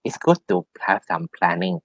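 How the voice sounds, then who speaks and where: A man giving spiritual advice as a tarot reader, explaining things haltingly and often repeating his phrases. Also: low voice, sing-song, a bit bright, dry recording. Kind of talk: spiritual advice in a one-on-one reading.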